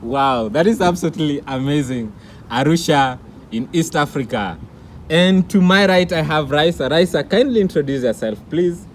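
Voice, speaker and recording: A man's voice: on the high side, animated, normal speed, slight background noise. A man hosting a vlog, presenting formally and interviewing his guests. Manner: formal, announcer-like delivery. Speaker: vlog host.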